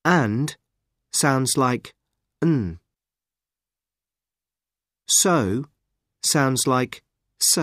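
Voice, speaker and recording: Man, coursebook audio narrator, very slow, lively intonation, dry studio sound. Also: mid-range voice, dry recording. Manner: slow, careful, deliberate delivery. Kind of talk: scripted pronunciation explanation.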